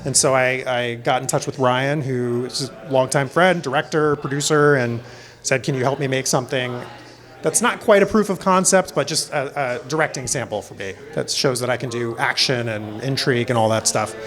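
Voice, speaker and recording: A man's voice: mid-range voice, moderate pace, fairly bright tone. A middle-aged American man from Florida, a film director talking casually and telling personal stories as an interview guest. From Florida, United States. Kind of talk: casual panel talk, personal storytelling.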